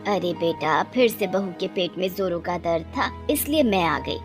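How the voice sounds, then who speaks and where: A long-suffering older village mother, acted by a woman, weary and reproachful. She speaks in storybook drama style, with clear music underneath. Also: fairly low pitch, animated, moderate pace, pitched below the performer's own voice. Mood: weary, caring. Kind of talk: dramatised Hindi moral story.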